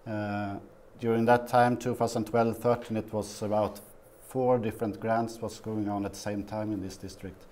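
A Swedish man in his fifties, a guest in a small TV studio interview, talking haltingly with many fillers. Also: lowish voice, little pitch movement, a little mellow, a little hiss or hum. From Sweden. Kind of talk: casual interview.

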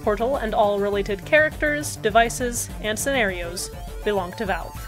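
A woman's voice: medium pitch, moderate pace, over music. Woman reading show credits matter-of-factly. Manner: plain, matter-of-fact reading. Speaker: writer and producer of the show.

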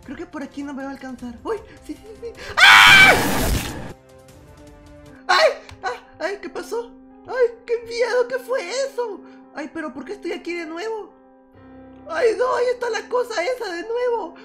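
A woman's voice: very high voice, expressive, normal speed, raised voice, faint music behind. A woman voicing a jumpy, easily frightened young character who squeals and cries out constantly, then speaks to viewers at the end. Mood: panicked. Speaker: channel character addressing viewers. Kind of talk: comic horror skit.

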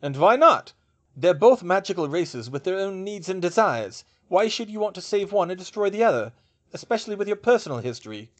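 Man playing a needling, suspicious male diplomat, raised pitch. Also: sing-song, fairly quick. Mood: challenging, sardonic. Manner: pointed, challenging questions. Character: male Nordic ambassador, challenging, suspicious, needling.

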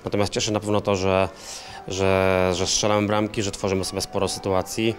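A man's voice: low-pitched, little pitch movement, fairly quick, a little hiss or hum. An assistant football coach in his thirties answering post-match questions in a hotel lounge, often repeating words as he searches for phrasing. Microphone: handheld interview mic.

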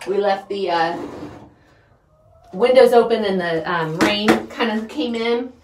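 A woman's voice: mid-range voice, a little slow. Middle-aged female homestead vlogger, folksy and rambling, off-mic in a small wooden space with noticeable noise. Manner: folksy, chatty, rambling while working. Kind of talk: casual chat to viewers during chores. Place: small wooden chicken coop. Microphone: phone set up a few feet away. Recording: roomy, distant mic with clear background noise.

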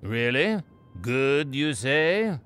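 A man's voice: mid-range voice, big pitch swings, very slow, fairly bright tone, exaggerated voice. An actor playing a bored, haughty alien military commander in his fifties, weary and disdainful, in a science-fiction audio drama. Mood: weary, sceptical. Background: music.

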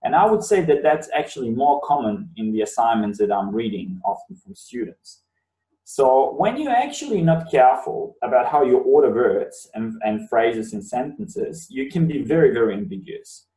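A man in his thirties whose first language is Bosnian, giving a webinar lecture on writing and explaining points with steady emphasis. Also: mid-range voice, moderate pace. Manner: explanatory, repeats words for emphasis. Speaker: university lecturer. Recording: roomy sound, mic at a distance.

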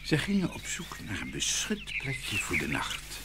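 A man's voice: mid-range voice, wildly swooping pitch, a little slow, gravelly, heavy background noise. A man narrating a scripted fairy tale as a dramatic storyteller.

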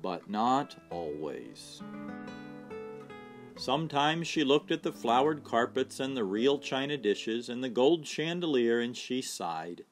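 Unhurried, animated male storyteller. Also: medium pitch. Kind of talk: children's storybook read-aloud. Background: soft guitar music.

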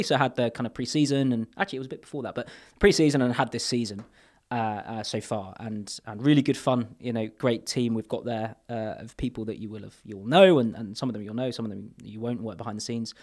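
A man in his thirties from England sits in a podcast studio and talks into a boom mic. He rambles through his answers with restarts and fillers. His phrases often trail off quietly. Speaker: podcast guest. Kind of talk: casual interview chat. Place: podcast studio with brick wall. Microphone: boom mic on arm.

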